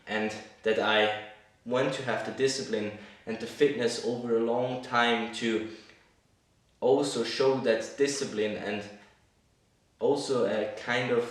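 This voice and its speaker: A man whose first language is Swiss German, speaking English in a thoughtful monologue about his personal brand and often searching for words. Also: mid-range voice. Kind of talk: reflective talking-head monologue. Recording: echoey room, mic at a distance.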